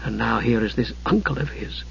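A man voicing an anxious, lovestruck but determined young woman in an audiobook, speaking softly. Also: mid-range voice, fairly quick, slightly rough, lo-fi sound, natural voice. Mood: self-reproachful. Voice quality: soft.

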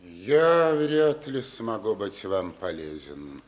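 An actor playing a cautious, formal gentleman in a radio drama, measured, polite and hesitant. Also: lowish voice, big pitch swings. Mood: reserved, tactful.

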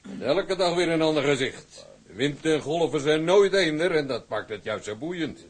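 An actor playing a seasoned older sea captain, hearty and philosophical, with a husky voice. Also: male voice, on the high side, lively intonation, normal speed. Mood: reflective. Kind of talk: drama dialogue.

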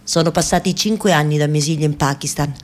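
Female actor playing fearful defiant woman activist, radio theatre monologue, lowish pitch, deliberate phrasing, insistent repetition. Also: close to an everyday voice. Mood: tense, oppressed. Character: Afghan woman activist returning veiled, fearful yet defiant. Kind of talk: dramatic first-person monologue, radio theatre.

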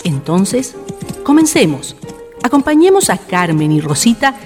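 A woman's voice: mid-range voice, very animated, a little slow, darkish tone. A woman narrating a scripted radio drama in a lyrical, formal storytelling manner. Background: prominent music with guitar.

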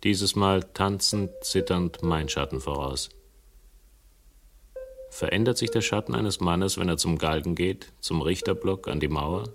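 An actor playing a wary, level-headed investigator in a radio crime drama, speaking in a controlled, dry, probing way. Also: male voice, deep voice, measured pace, noisy background. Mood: bleak narration. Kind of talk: German radio crime drama acting, noir narration.

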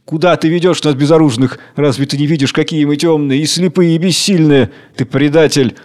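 A man's voice: on the high side, fairly even intonation, a little fast, darkish tone, lightly acted. Guy as bitter old workman in a drama, drab voice, accusing and defiant. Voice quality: colorless voice. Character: defiant embittered old man, a worker.

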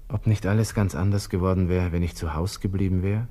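An actor playing a despairing young army lieutenant with an Austrian accent, talking to himself haltingly in a radio drama monologue. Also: male voice, low-pitched, steady tone, mellow, natural voice. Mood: anguished, reflective. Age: 20s.

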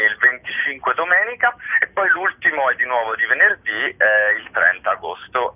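A man answering interview questions as a theatre festival's artistic director, explaining things in an articulate, conversational way. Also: mid-range voice. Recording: narrow band, phone-like radio sound.

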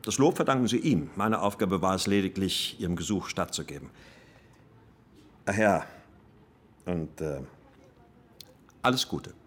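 Low-voiced formal police colonel. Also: male voice, lively intonation, slightly rough, heavily acted. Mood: formal, conciliatory. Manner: measured, formal. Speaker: supporting actor in a radio crime drama. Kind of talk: German radio drama acting.

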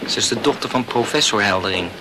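A man's voice: on the low side, fast, very noisy, close to an everyday voice. A man playing a chatty, opinionated male student in a radio play, blunt and gossipy, swearing mildly. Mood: gossipy, indignant. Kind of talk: conversational radio drama acting.

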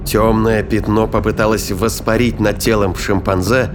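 A man giving scripted dramatic narration of a science fiction thriller in a deliberate, suspenseful manner. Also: lowish voice, level tone, background noise. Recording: music behind the voice.

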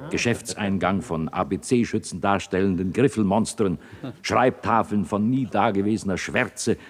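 An attentive radio studio man with a low voice. He speaks in a measured, articulate way with a drily literary turn, in radio play style. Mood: drily declamatory.

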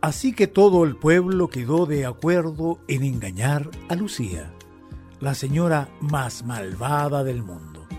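A man's voice: mid-range voice, very animated, unhurried, a little mellow, faint music behind. A man with a Chilean accent narrating a radio story in a dramatic, scripted style.

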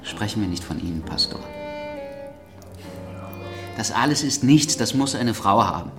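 Brooding, suspicious baron in radio drama: lowish voice, very varied pitch, blunt and confiding. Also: male voice, lively intonation, unhurried, echoey room, over music. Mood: uneasy, suspicious. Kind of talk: German radio drama acting.

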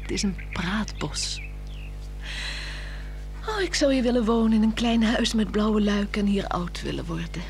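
Teasing mistress, dreamy, animated intonation, mid-pitched, 1960s radio drama, faint music. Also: female voice, normal speed, gravelly, pauses often. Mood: dreamy, playful. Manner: teasing, whimsical. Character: playful, mysterious, dreamy mistress in the woods.

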